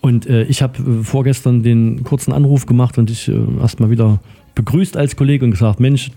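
A man hosts a casual radio chat. His voice is very dark and mellow, with a gravelly fry to it. He rambles along chattily as he talks. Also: mid-range voice, steady tone, brisk. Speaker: radio show host.

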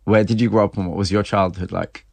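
Low-voiced male interviewer, close dry studio sound. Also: steady tone, fast talker, creaky, a little mellow, no room sound. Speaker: interviewer and narrator. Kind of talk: studio interview and scripted narration.

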